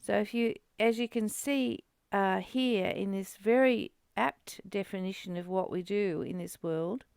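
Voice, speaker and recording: A woman with a creaky, gravelly edge to an otherwise clear voice leads a spiritual book study. She reads and explains deliberately at a slow pace, recorded close and dry. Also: mid-range voice, a little mellow, no echo. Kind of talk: reading aloud and informal teaching commentary.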